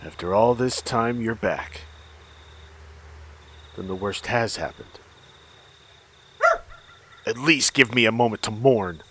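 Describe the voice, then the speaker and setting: An actor playing a weary, bitter old father haunted by a cursed mask in a horror audio drama, with anguished, halting delivery. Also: fairly low pitch, bright, forceful tone, a little hiss or hum, heavily acted. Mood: grieving, furious. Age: older.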